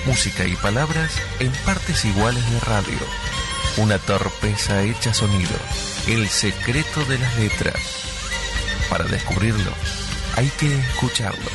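A man reading a scripted radio promo as announcer, in a dramatic, deliberate manner. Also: fairly low pitch, lively intonation. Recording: loud music bed under voice.

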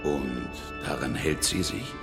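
Really deep terse man on the run, radio drama, echoey with music behind. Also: expressive, slow pace, vocal fry, heavily acted. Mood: wary. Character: reserved man on the run seeking church shelter.

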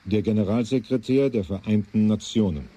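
A man's voice: on the low side, fairly quick, warm tone, slightly rough. A man playing a sober, ominous narrator and announcer in scripted radio-play narration.

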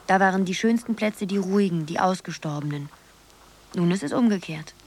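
An actress playing a dreamy, wistful young woman who muses haltingly in a poetic radio drama. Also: mid-range voice, a little mellow, lightly acted. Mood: dreamy, musing. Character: Zoe, dreamy young woman drifting through a surreal world.

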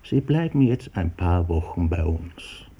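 A man's voice: sits low, sing-song, measured pace, mellow, breathy edge, heavily acted. An actor playing a considerate man who offers shelter, in a radio play. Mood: gentle, careful. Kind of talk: German radio play acting.

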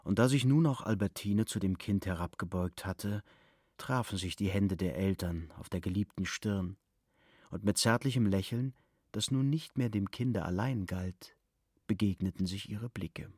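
A man narrating a literary radio play in a measured storytelling manner. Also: on the low side, slightly rough, no room sound, studio quality. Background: loud music with guitar.

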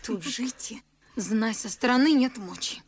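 A woman playing a pert, anxious, sharp-tongued young maid in a classic verse radio play, with an exclamatory manner. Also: a little high, a little slow, slight background noise, close to an everyday voice. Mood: urgent, fretful. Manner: animated, exclamatory.

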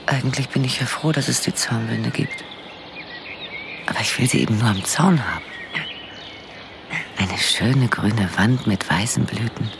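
Brooding, low-voiced woman gardener. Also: measured pace, creaky, long pauses, heavy background noise, full character voice. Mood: bitter. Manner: brooding, musing to herself. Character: brooding, bitter woman gardener watched by her neighbours. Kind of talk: radio drama inner monologue.

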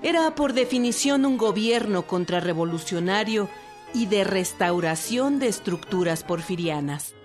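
With faint string music behind, a woman narrates in a mid-pitched voice. The reading is scripted, in a radio documentary style. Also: expressive, measured pace.

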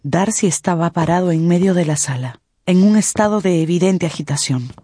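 A woman's voice: fairly low pitch, fairly quick, dark tone, slightly rough, no echo, studio quality. A woman narrator reading scripted storytelling for a radio drama.

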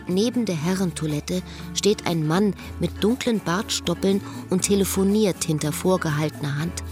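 An actress plays a woman with a fairly low voice, narrating a literary radio play. She is bitter and watchful, observing a former lover with sardonic precision. Music plays softly behind her. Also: natural voice.

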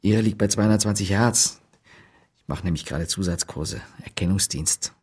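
A friendly villager, radio play, husky voice, rambling, eager. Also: male voice, low voice, moderate pace, darkish tone. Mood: chatty. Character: awkward friendly village man, Jo.

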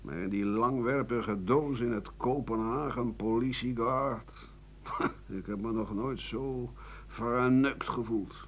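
An actor playing a bitter, aging exiled writer-doctor in a radio drama monologue, sardonic and halting, often sinking to a husky mutter. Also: male voice, mid-range voice, slight background noise. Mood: grim, resigned. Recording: muffled 1980 radio broadcast.

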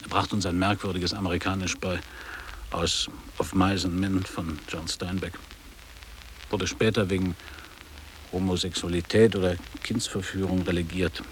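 A man's voice: low voice, level tone, normal speed, a little hiss or hum, lightly acted, rough recording. An actor playing a nostalgic man in his fifties in a radio play, declaiming literary reminiscences in clipped phrases. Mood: reminiscing. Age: 50s. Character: nostalgic man around fifty revisiting his old boarding school.

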